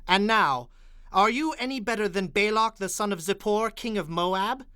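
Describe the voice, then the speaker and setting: An ancient Israelite commander and father in a radio-theatre Bible production. His delivery is declamatory and formal, with a lively, sweeping pitch range. The tone is bright and forceful, recorded close and dry. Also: normal speed, smooth, no echo. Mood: indignant, forceful. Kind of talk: dramatized audio Bible acting.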